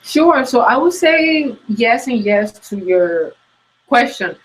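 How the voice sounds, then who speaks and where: A woman with a thick Puerto Rican accent, English learned as a second language, answers questions in an interview. Her voice sits a bit high, and she talks earnestly, often pausing on ums and restarting her sentences. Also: lively intonation, low-quality recording. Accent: Puerto Rican Spanish. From Puerto Rico. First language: Spanish. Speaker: guest coach. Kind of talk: interview conversation. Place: New York.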